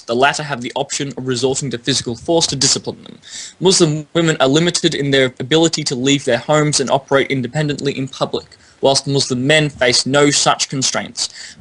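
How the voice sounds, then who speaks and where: A man leading a panel discussion in a formal, articulate and measured way, as if presenting prepared material. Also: mid-range voice, a bit bright. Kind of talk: panel discussion, reading prepared text aloud.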